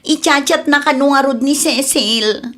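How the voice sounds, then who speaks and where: Actress as a high-pitched, lovesick young woman. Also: unhurried, bright, forceful tone. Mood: troubled, plaintive. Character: lovesick, distressed young woman quarrelling and confiding. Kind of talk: radio drama acting.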